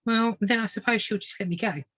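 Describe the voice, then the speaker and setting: A woman's voice: mid-range voice, a little fast, a bit bright, slightly rough, pitched above the performer's own voice. A woman voicing an eager, naive male bird in a children's storybook, cheerful, polite and innocent. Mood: innocent, chatty.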